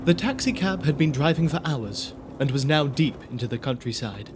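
A man's voice: fairly high pitch, animated, moderate pace, background noise. A man narrating a spooky audio drama in a theatrical storybook style. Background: music.

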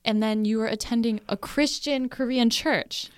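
A chatty woman hosts a podcast interview, keeping the talk going with encouraging prompts. Her voice is smooth and clear, with a dry, close studio sound. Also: on the high side, normal speed, no echo. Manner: chatty, encouraging, lots of affirming hums. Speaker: podcast host. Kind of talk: casual interview chat.

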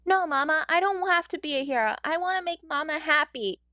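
Lady putting on a little kid's voice, high and sweet, gentle and stubborn, dry close sound. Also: brisk, no echo. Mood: earnest. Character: young boy, gentle and determined.

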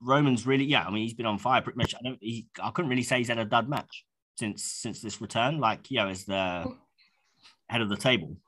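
A man's voice: mid-range voice, fairly quick, bright, forceful tone. A man co-hosting a casual podcast, stuffed up with a cold, rambling with many restarts. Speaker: podcast co-host.

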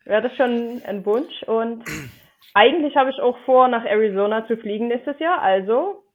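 A woman's voice: a little high, normal speed. A woman from Dresden with a light Saxon accent, chatting as a podcast guest in a friendly, hesitant way with many fillers. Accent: Saxon German. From Dresden, Germany. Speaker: podcast guest, football fan. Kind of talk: casual podcast chat. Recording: tinny phone-like line.

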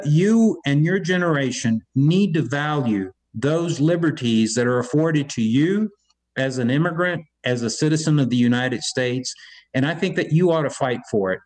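A man's voice: medium pitch, rough recording. A man from Cuba speaking emphatically about politics on a video call, often restarting his words. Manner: emphatic, repeats words when starting. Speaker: political guest. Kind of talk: impassioned political talk.

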